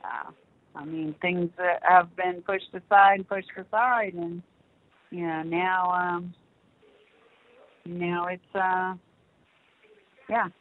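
A woman's voice: lowish voice, fairly even intonation, takes it slowly, pauses often. A middle-aged or older woman chatting casually with family on the phone, speaking hesitantly and breaking off at times. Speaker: mother on a phone call, minding a baby. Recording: tinny, band-limited phone line.

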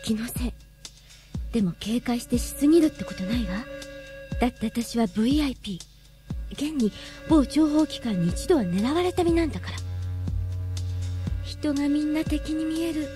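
A woman's voice: high voice, moderate pace, pauses often, music in the background, natural voice. An actress playing a jumpy, self-important young woman in a comic radio drama monologue, suspicious and melodramatic. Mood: paranoid, wary.

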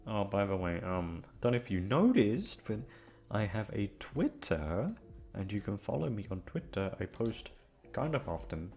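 Man, casual rambling chat, hesitant, self-deprecating, sweary, animated pitch, faint music behind. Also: moderate pace. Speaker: audio content creator.

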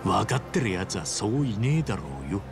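Animated, rough-talking easygoing tough guy. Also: fairly low pitch, fairly quick, music in the background. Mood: calm, defiant. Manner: casual, rough masculine street speech. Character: easygoing but fearless Japanese man, a tough traveller. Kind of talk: Japanese video game cutscene acting.

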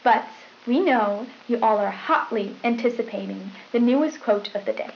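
A woman's voice: fairly high pitch, moderate pace, lightly acted. A young woman playing a polite, perky school news anchor, formal and scripted, on a hissy old tape. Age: young adult. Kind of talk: scripted 1990s school newscast. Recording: dull hissy VHS broadcast sound.

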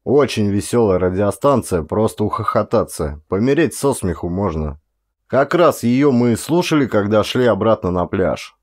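A man's voice: fairly low pitch, big pitch swings, normal speed. A middle-aged man reading a short story as an audiobook narrator, in a dramatic scripted style.

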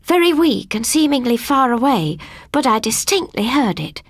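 Husky woman reading a ghost story. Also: on the high side, big pitch swings, moderate pace, dry recording. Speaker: audiobook narrator. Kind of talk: scripted first-person ghost story narration.

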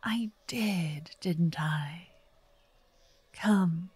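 A woman voices a wealthy vampire lady, romantic and doting, in an ASMR audio drama. Her voice is mellow, soft and breathy, often slipping into a whisper. She talks slowly and elegantly, flirting gently, very close to the mic in a dry space. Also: on the low side, no room sound, exaggerated voice. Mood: coaxing. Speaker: ASMR roleplay voice actor. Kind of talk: intimate ASMR roleplay, half-whispered. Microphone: close microphone in a dry, quiet space.

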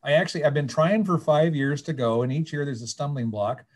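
A man's voice: a little high, a little fast. A man in his sixties from California, telling his story in a casual, plainspoken way with wry asides, speaking into a webcam from a small office. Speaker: guest panelist. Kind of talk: casual storytelling on a video call. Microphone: video call webcam.

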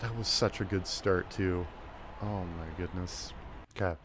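A young man giving casual, excitable commentary while playing a video game, talking to himself through a headset mic. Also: low voice, a little slow, background noise. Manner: excitable, self-mocking, talks to himself. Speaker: gaming YouTuber. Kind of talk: casual let's-play commentary.

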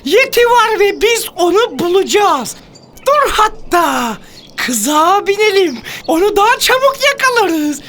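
A woman voicing an excitable, bossy, adventurous child in a children's cartoon, eager and insistent. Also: very high-pitched, unhurried, exaggerated voice. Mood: determined.

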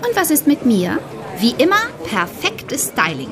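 An actress playing an excitable, chatty teenage girl detective in a German children's audio drama, with a gushing delivery. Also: fairly high pitch, unhurried, noisy background. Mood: teasing, cheerful. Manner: gushing, exclamatory.

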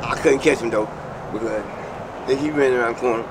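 A man's voice: medium pitch, brisk, background noise. A middle-aged man, chatting casually and laughing on the street, recorded outdoors. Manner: laid-back, laughing, slangy, trailing off. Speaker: interviewee on the street. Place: outdoors by a building and parking lot.

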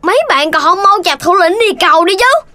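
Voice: extremely high pitch, animated, fairly quick, pushed and bright, heavily acted. An actor voicing a dreamy, boastful little boy puppy who shows off and exclaims in a children's cartoon. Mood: gloating. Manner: exclaiming, showing off, whiny when thwarted. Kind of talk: children's cartoon dubbing in Vietnamese.

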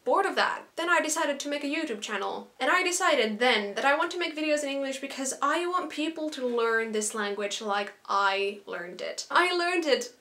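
A young woman with a Finnish accent talks straight to a camera set close in front of her in a small bedroom. Her voice sits a little high, and her manner is chatty and earnest. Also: animated, normal speed, few pauses, sharp tone. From Finland. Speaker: YouTuber. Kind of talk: casual talk to camera. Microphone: camera in front at close range.

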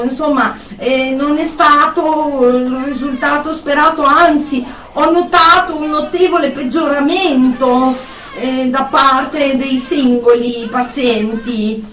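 In an echoey room and away from the mic, an actress plays an anxious woman psychotherapist in a radio drama. Her voice is high and slow, with a halting, apologetic delivery. She sounds deferential, as if reporting to a superior by phone. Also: a bit bright, far from the mic. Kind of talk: radio drama monologue, one side of a phone call.

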